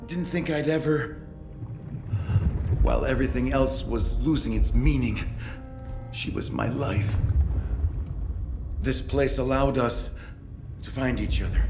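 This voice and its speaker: An actor playing a grief-stricken, unhinged man in a video game cutscene, with a halting, dramatic delivery. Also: medium pitch, echoey room, over music, natural voice. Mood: sorrowful. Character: grief-stricken, unhinged man, pleading then raving zealot.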